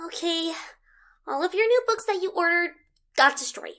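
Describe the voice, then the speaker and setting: A woman's voice: very high voice, lively intonation, moderate pace, fairly bright tone, full character voice. A woman playing an anxious, stubborn eight-year-old cartoon pony girl with a pleading, childlike manner. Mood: nervous. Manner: pleading, childlike, stammering when in trouble. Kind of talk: animated fan audio drama acting.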